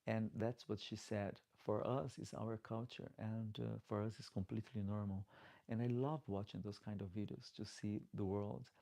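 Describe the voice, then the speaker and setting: A man's voice: lowish voice, little pitch movement, moderate pace, gravelly, mellow. A man with a Portuguese-speaking accent, a drag queen out of drag, chatting casually on a late-night livestream and reading comments aloud. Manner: chatty, reads comments aloud, pleads for likes. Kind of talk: casual live chat.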